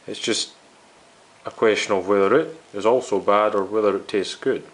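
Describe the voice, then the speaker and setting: A man's voice: on the low side, normal speed, a little hiss or hum, poor audio. A man narrating an informal, unscripted hobby video as its host, in a downbeat, hesitant, explanatory way.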